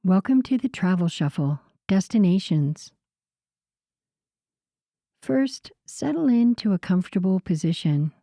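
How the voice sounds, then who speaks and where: A woman guiding a sleep meditation in a soothing, unhurried way, with a soft voice that turns breathy at the ends of phrases. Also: on the low side, animated, no room sound, studio quality. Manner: soothing, unhurried, gentle pauses. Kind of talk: slow guided sleep meditation narration.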